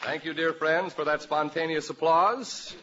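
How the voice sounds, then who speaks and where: A man with a fairly high voice hosts an old radio panel show. He is jovial and florid, playing the showman. The muffled recording is full of loud noise and crowd sound. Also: fairly even intonation, unhurried. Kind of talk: jovial mid-century radio panel hosting.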